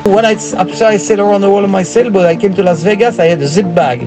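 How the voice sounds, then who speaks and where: High-voiced older Belgian man telling stories. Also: steady tone, fast talker. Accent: French-speaking Belgian. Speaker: interview guest, performance painter. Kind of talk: casual storytelling interview. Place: small living area inside a converted bus. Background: music.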